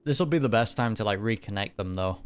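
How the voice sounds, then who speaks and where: A man's voice: lowish voice, lively intonation, brisk. A young man reacting to a game as he plays, with casual, jokey, slangy commentary. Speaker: gaming reaction host.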